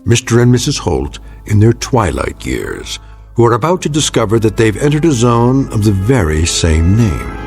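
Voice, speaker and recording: A grave, ominous story narrator. His low voice is measured and portentous, moving widely in pitch over faint music. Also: sing-song, gravelly. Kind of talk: dramatic scripted narration.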